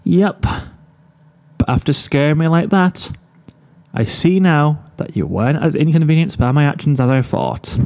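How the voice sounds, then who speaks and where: A man's voice: on the high side, animated, normal speed, vocal fry, pitched above the performer's own voice. A man voicing an affectionate mare pony who is playfully dramatic and teasing, in a storybook reading. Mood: overdramatic teasing. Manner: overdramatic, teasing. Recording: soft guitar music behind.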